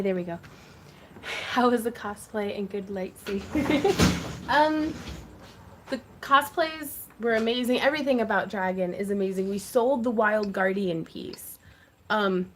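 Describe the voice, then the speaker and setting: A woman's voice: medium pitch, noisy background. A woman hosting a craft livestream, chatting casually and laughing often as she greets viewers. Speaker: craft channel host.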